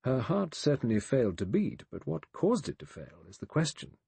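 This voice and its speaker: A man's voice: mid-range voice, big pitch swings, moderate pace, a little mellow, breathy edge, no room sound. Man as a cautious, dry male doctor. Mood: dry, doubtful.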